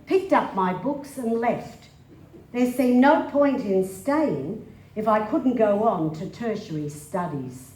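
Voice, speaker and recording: A woman reads a personal story dramatically. Her pace is slow and her intonation animated, and the room adds a clear echo. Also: mid-range voice. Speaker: stage reader. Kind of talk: dramatic reading of memoir. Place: live stage reading indoors. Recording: roomy, echoing stage sound.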